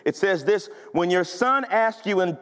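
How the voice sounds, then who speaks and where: Male pastor, very high voice, punchy conversational preaching, church with long echo. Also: animated, unhurried, a bit bright, clear, resonant tone. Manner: emphatic, conversational preaching with rhetorical questions. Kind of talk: sermon. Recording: long hall reverb.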